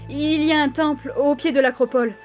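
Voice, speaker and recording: A high, timid female voice from an actress for an enslaved young woman in an ancient Greek adventure drama. She is hesitant and apologetic, deferring to those above her, over a music bed. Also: fairly even intonation, a little fast, natural voice. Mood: deferential, wary. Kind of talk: French audio drama acting.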